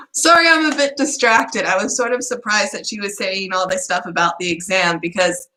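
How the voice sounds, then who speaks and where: A woman's voice: medium pitch, moderate pace, sharp tone, roomy sound, rough recording. A young woman, a student vlogger who is starting to lose her voice, ranting in a rambling, frustrated way. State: losing her voice. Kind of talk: casual vlog chat.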